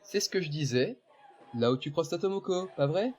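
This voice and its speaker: A man's voice: on the high side, moderate pace. A man playing a boastful teen jock. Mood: teasing. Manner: animated storytelling, teasing. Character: boastful teenage boy, baseball-loving classmate. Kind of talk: fan audio drama acting.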